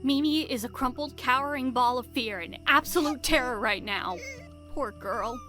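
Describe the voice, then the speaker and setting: A woman's voice: high voice, normal speed, bright, forceful tone. A woman narrating a spooky fantasy audio drama as a dramatic, scripted storyteller. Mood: dramatic storytelling. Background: music behind the voice.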